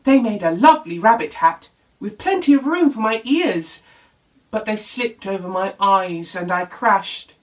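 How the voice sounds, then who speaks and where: A man voicing a lively, daredevil rabbit in a children's storybook. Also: sits high, measured pace, voice pushed up. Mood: explaining. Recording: voice distant from the mic.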